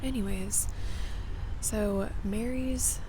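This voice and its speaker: A woman chatting casually in an ASMR style, soft-spoken, musing and informal, as she hosts an outdoor vlog. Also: medium pitch, fairly even intonation, very slow. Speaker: vlog and podcast host. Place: outdoors in a cemetery. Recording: noisy outdoor ambience with vehicle sounds.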